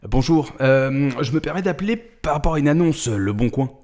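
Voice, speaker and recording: A man's voice: fairly high pitch, expressive, normal speed, darkish tone. A man hosting prank calls in casual, slangy, rambling speech. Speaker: prank caller and video host. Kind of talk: casual prank-call chat and commentary.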